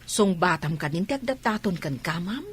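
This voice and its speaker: A woman's voice: lowish voice, animated, normal speed, husky. A woman playing a nosy, teasing household confidante in a radio comedy drama. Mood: probing.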